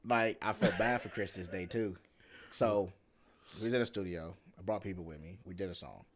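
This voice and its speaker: A man with a deep voice in relaxed, slangy casual chat, laughing often as he tells stories. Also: normal speed, husky. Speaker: rapper and interviewer.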